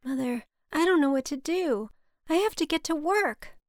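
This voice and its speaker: A woman's voice: high voice, animated, moderate pace, no room sound, studio quality, voice pushed up. A woman voicing an anxious, dutiful adult daughter who pleads and placates, in an audiobook story.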